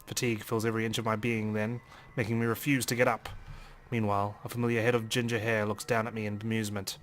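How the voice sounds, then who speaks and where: A man doing a let's play, reading a game's narration aloud in an expressive, dramatic storytelling way. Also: lowish voice, fast talker. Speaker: let's player.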